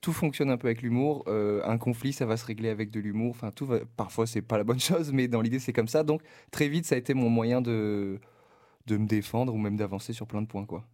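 A young man talks as a guest on a relaxed chat show. He is chatty and laughs easily, trailing off into hesitations as he goes. His voice sits at an ordinary middle pitch. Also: brisk. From southeastern France, Var. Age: 20s. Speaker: guest comedian. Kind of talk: casual interview chat.

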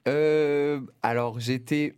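A man's voice: fairly high pitch, fairly even intonation, drawn-out words, a little mellow, clear, resonant tone. A man, a stand-up comedian chatting as a guest with frequent laughs and hesitations. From southeastern France, Var. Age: 20s. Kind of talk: casual interview chat.

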